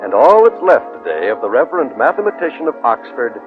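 A male storyteller reads a scripted narration in 1950s radio style. His pitch moves expressively over clearly audible music. The recording is old, narrow and muffled. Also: big pitch swings, fast.

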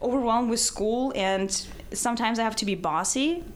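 A young woman from Russia, speaking English with a Russian accent as her second language, chatting as a guest in a lively way, with frequent laughter and acted-out quotes. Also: a little high, moderate pace, slight background noise. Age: young adult. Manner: lively, laughs often, acts out quoted voices. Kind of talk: casual chat.

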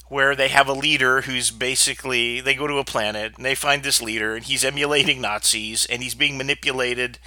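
A man's voice: medium pitch, bright, forceful tone, no echo. A man hosting his own podcast, stuffed up from sinus trouble, talking bluntly and rambling with plenty of fillers. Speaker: podcast host. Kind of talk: casual solo podcast talk.

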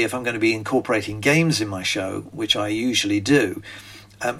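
A man's voice: mid-range voice, a bit bright. An older British man with a British accent hosting a podcast, talking in a rambling, chatty way full of ums and restarts. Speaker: podcast host, magician. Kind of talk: casual spoken monologue.

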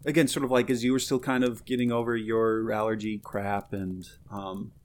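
A man's voice: fairly low pitch, level tone, moderate pace. A man co-hosting a podcast in casual chat, upbeat and rambling, full of filler words. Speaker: podcast co-host.

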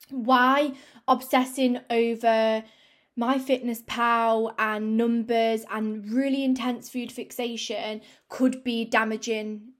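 A young woman hosting her own fitness podcast, chatty and upbeat with many fillers, a little croaky from a cold. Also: a little high. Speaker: podcast host and fitness coach. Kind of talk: casual solo podcast talk.

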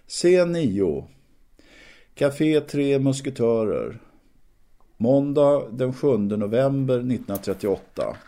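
A man's voice: mid-range voice, big pitch swings, dark tone. A man narrating scene headings for an audio drama in a scripted reading.

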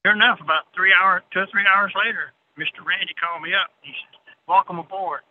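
Veteran trucker guest, male, 60s. Folksy, plainspoken storyteller, slightly high pitch, thin phone-call audio. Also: steady tone, fairly quick, slight background noise. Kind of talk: casual anecdotal storytelling. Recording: narrow tinny phone-line sound.

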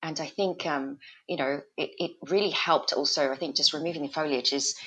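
A woman with a low, warm speaking voice talks as a podcast guest about her garden. She grew up in Australia after a Malaysian childhood, and she chats casually, stumbling over restarts and breaking into laughter. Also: steady tone, fairly quick, slightly rough, low-quality recording. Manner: chatty, hesitant, many restarts and laughs.

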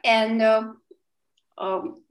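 A young woman from China with a Chinese accent, a guest in a casual interview, speaking haltingly with many fillers and restarts. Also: on the high side, flat delivery. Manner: hesitant, many fillers and restarts, laughs often. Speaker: guest, language student.

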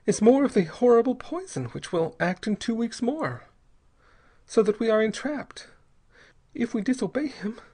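A man's voice: extremely high pitch, animated, a little mellow, breathy edge, pitched above the performer's own voice, heavily acted. A man playing a panicked young mother, pleading. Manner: pleading, halting, choking on words. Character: desperate young mother, Spanish-speaking woman, panicked.

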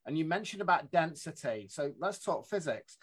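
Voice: medium pitch, big pitch swings, normal speed, husky. An interview host who jumps in eagerly to add asides and quotes, apologizing for interrupting. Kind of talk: conversational interview.

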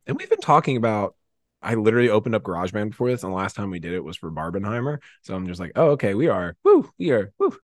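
A man speaking as a podcast guest in casual, comedic chat, rambling and swearing in an animated way. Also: lowish voice, fast talker, no room sound, clean studio sound.

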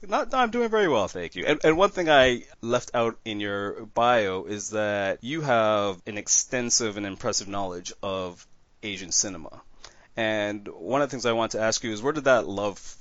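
Guy hosting a movie podcast, lowish voice, dry slightly dull sound. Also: moderate pace, a bit bright, dry recording. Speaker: podcast host. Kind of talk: podcast film discussion.

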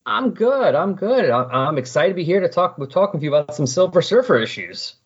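A man's voice: high voice, sing-song, fairly quick, breathy edge, dull audio. A man hosting a hobby podcast, talking in a casual, conversational style. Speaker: podcast host. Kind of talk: casual comics podcast talk with read synopsis.

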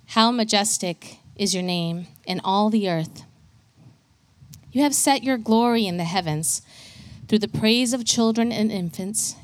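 A woman reads a psalm aloud to the congregation in a slow, emphatic, solemn way. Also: medium pitch. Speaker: scripture reader. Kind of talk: measured scripture reading.

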